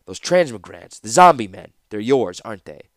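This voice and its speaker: A man's voice: lowish voice, sing-song, normal speed, no room sound, studio quality. A man voicing a battered, defiant prisoner in a fantasy audiobook, bitterly sarcastic, gasping and growling his words. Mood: disgusted.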